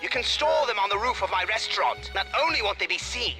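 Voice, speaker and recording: A man's voice: high voice, expressive, fast, sharp tone, faint music behind, exaggerated voice, rough recording. A man playing a scheming, pompous alien commander from a video game, with florid, theatrical diction. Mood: imperious. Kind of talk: animated video game voice acting.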